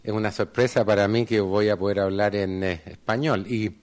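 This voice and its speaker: A middle-aged man speaking on a conference panel in a measured, formal way, often pausing on fillers. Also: on the low side, fairly even intonation, a little mellow. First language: Spanish. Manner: measured, hesitant with frequent fillers. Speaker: panel speaker, law school dean.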